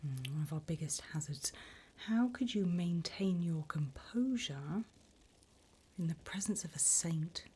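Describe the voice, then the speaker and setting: A woman playing a wickedly amused, businesslike female demon interviewer in a breathy, whispered ASMR roleplay. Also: on the low side, expressive, a little slow, warm tone, a little hiss or hum. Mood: businesslike, teasing.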